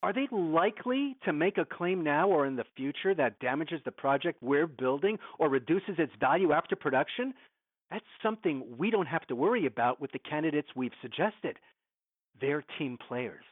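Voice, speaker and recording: An actor playing a domineering, evasive film studio executive in an audio drama, condescending and clipped, heard over a thin phone line. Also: male voice, a little high, expressive, normal speed, no room sound. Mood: guarded, cutting. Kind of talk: modern audio drama acting.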